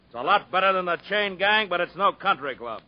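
An actor playing a brusque, bossy prison farm guard in a 1950s radio drama, dry and matter-of-fact. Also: male voice, high voice, expressive, fairly quick. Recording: dull 1950s radio broadcast recording.

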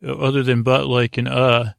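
A man with a creaky voice hosting a bedtime podcast, rambling along in a meandering, halting way and interrupting himself. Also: mid-range voice, level tone, no echo, studio quality. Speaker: podcast host.

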